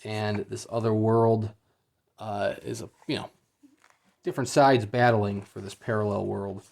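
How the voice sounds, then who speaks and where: A man's voice: lowish voice, flat delivery, unhurried, vocal fry, darkish tone. A man giving a casual, unscripted unboxing review as he goes, in a hesitant, understated and mildly let-down manner.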